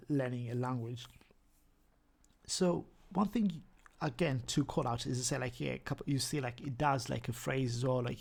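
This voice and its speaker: A man's voice: mid-range voice, vocal fry, a little mellow, breathy edge. A young man with a thick Zimbabwean accent who talks through a casual screen-share tutorial, stumbling and repeating words as he goes. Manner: halting, many repeated words and restarts. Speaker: YouTuber.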